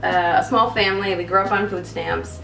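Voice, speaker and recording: A woman in her thirties answers interview questions in an earnest, reflective way. Her voice sits at a middle pitch and comes from a little way off the mic. The room echoes and a soft music bed runs beneath. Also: steady tone, fairly quick, gravelly, distant mic, slight background noise. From upstate New York. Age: 30s. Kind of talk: documentary interview.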